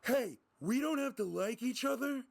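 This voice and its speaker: A man's voice: extremely high pitch, lively intonation, vocal fry, voice pushed up, full character voice. A man voicing a wisecracking young woman adventurer who jokes her way through things. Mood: flippant. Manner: joking, quick, flippant.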